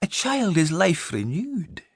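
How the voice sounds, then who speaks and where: Man acting a soft, friendly priest, lively intonation. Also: high-pitched, husky, no echo, clean studio sound, pitched above the performer's own voice, exaggerated voice. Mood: calm, kindly. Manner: friendly, measured. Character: soft-spoken, friendly male priest, calm and appeasing.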